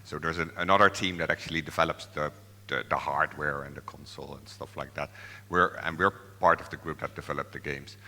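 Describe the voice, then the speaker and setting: Very deep-voiced man presenting in a hall. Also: fairly even intonation, fairly quick, bright, forceful tone. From Netherlands. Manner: hesitant, many fillers and restarts. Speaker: software developer presenting. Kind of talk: conference tech presentation. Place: large conference hall.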